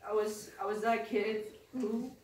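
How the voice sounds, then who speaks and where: Teen girl giving a talk, slow and hesitant, echoey room, faint hiss. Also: mid-range voice, steady tone, darkish tone, far from the mic. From Philippines. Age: teens. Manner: halting, hesitant, with many restarts. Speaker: student speaker. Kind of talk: personal testimony speech. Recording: echoey room, mic from afar, faint noise.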